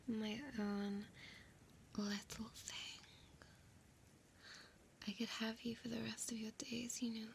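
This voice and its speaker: Woman doing an ancient vampire lady for audio roleplay, breathy whisper, super slow n possessive, kinda high voice, seductive. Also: little pitch movement, slight background noise. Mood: smug, possessive. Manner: slow, drawn-out, teasing delivery. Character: ancient female vampire, seductive, possessive, doting on her captive.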